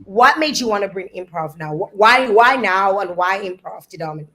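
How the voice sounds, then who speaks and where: A woman's voice: medium pitch, animated, moderate pace, sharp tone. A woman hosting a casual live interview, chatting playfully and circling back over her phrases as she builds up a question. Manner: rambling, repeats phrases, playful. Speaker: live show host and interviewer.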